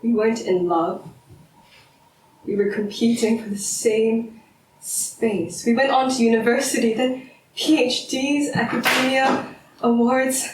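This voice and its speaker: A woman's voice: fairly high pitch, a little slow, natural voice. Woman acting a volatile, bitter wife, echoey room. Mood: bitter, anguished. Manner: theatrical, sardonic, swinging into shouts. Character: bitter, menacing academic wife, taunting and volatile. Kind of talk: stage drama acting. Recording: roomy, distant mic, faint noise.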